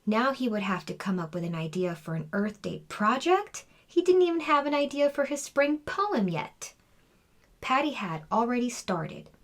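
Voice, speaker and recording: A woman's voice: a little high, sing-song, normal speed. A woman reading a children's storybook aloud as scripted narration. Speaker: children's book reader.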